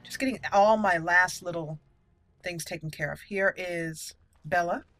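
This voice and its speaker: A woman's voice: fairly low pitch, unhurried, fairly bright tone, soft background music. A woman hosting a gaming channel with casual roleplay commentary, chatty and easily amused.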